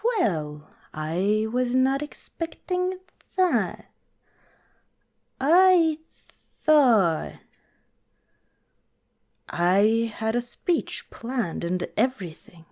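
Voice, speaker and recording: A woman playing a young, affectionate vampire girlfriend in an ASMR roleplay, speaking softly and hesitantly. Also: a little high, big pitch swings, heavily acted. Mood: stunned. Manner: soft, tentative, halting, intimate.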